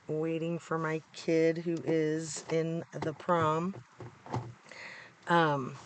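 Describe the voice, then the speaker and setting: A middle-aged American man with a hoarse, congested voice, giving casual, opinionated commentary to viewers in an informal, emphatic way. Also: a little high, slight background noise. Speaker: true-crime vlogger. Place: inside a parked car.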